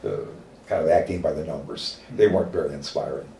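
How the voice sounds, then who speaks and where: Older Midwestern man, very low voice, halting and reflective; roomy, distant mic with faint hiss. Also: dark tone. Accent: Midwestern American. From American Midwest. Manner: reflective, halting with many fillers. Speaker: acting teacher interviewee. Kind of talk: oral history interview.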